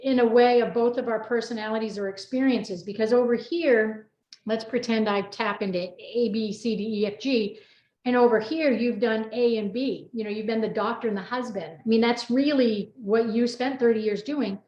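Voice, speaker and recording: A woman's voice: fairly high pitch, fairly even intonation, moderate pace, a little mellow. A middle-aged American woman chatting casually as a host, talkative and rambling as she tells stories with quoted asides. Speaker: host and book co-author. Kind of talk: casual personal chat. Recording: echoey room, slightly dull audio.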